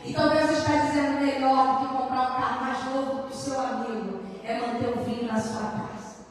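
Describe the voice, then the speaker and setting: A woman's voice: high-pitched, fairly even intonation, normal speed. A woman pastor from Rio de Janeiro with a Carioca Brazilian accent, preaching emphatically to couples with rhetorical questions and direct exhortation. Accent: Rio de Janeiro Brazilian Portuguese. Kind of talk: sermon to married couples. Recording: very echoey hall, distant microphone.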